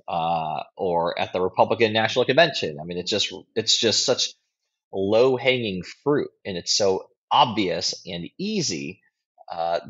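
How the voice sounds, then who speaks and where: Male guest pundit, lowish husky voice, blunt and informal, on slightly muffled lo-fi audio. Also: fairly bright tone. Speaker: guest commentator, political strategist and writer. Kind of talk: conversational opinion commentary.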